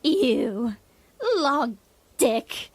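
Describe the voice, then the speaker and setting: A woman's voice: fairly high pitch, animated, no echo, full character voice. A woman voicing a domineering, mocking female leader of a savage tribe in a comedic fantasy audio drama. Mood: mocking, menacing. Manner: mocking, imperious, drawn-out delivery.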